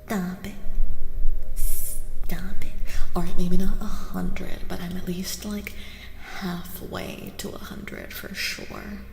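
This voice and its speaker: A woman hosting an ASMR tarot livestream, speaking softly and gently, often dropping into a breathy whisper and repeating phrases soothingly. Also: on the low side, little pitch movement, slow, long pauses. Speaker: livestream host, tarot reader. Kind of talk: soft-spoken ASMR livestream chat with tarot reading. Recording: reverberant echo with music underneath. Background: music.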